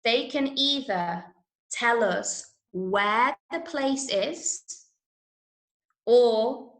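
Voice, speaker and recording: A woman with an English accent teaching an interactive online drama workshop, giving clear instructions and encouraging pupils as she repeats their answers. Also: on the high side, drawn-out words, a bit bright. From Manchester, UK. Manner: clear step-by-step instructions to children. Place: room, online video lesson. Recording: echoey room sound.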